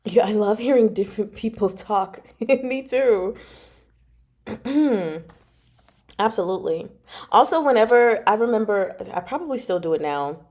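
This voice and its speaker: Mellow-voiced woman chatting casually. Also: mid-range voice, animated, a little slow. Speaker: host of a book club reading stream. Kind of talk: casual livestream chat about a novel.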